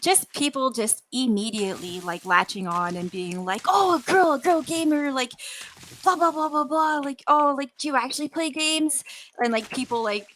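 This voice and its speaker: A young woman talks casually as an interview guest. Her voice is bright and sharp, with an informal, stop-and-start delivery full of "like". There is faint noise and clicking on the recording. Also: high-pitched, animated. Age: millennial, young adult. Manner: informal, halting, peppered with "like". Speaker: interview guest, voice actress and gamer. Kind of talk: casual chat.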